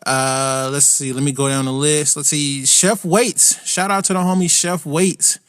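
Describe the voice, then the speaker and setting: A man's voice: fairly high pitch, steady tone, a little slow, a bit bright. A man hosting a podcast, chatting casually and teasingly with lots of fillers, with faint music behind. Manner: laid-back, teasing, many fillers like "like". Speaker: podcast host. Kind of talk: casual podcast chat and show intro.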